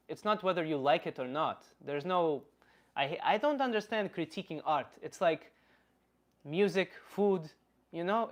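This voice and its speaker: A man playing a blunt Slavic uncle, philosophizing in halting, casual livestream talk and answering chat comments. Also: on the high side, close to an everyday voice. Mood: casual, opinionated. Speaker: livestream host.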